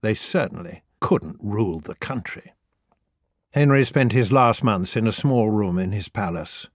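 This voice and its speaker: A man's voice: lowish voice, lively intonation, measured pace, dry recording, clean studio sound. A man reading scripted humorous history as an audiobook narrator, in a wry, theatrical storytelling manner.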